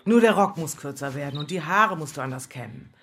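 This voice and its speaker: Worldly streetwalker, low swinging voice. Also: female voice, animated, a little fast, a little hiss or hum. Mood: coaxing, knowing. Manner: knowing, matter-of-fact, sardonic. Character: worldly, bitter streetwalker taking in a younger girl. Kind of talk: German radio play acting.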